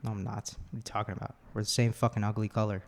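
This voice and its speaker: A man's voice: on the low side, little pitch movement, racing, vocal fry, big-room sound. A young man with a young-sounding voice, a guest in a casual podcast chat who swears freely. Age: young adult.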